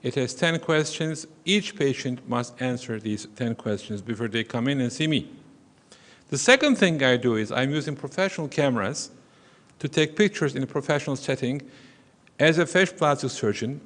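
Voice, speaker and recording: A middle-aged man from Turkey with a Turkish accent, giving a measured, explanatory conference lecture as a surgeon. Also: medium pitch, lively intonation, fairly bright tone. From western Turkey. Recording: long reverb of a conference hall.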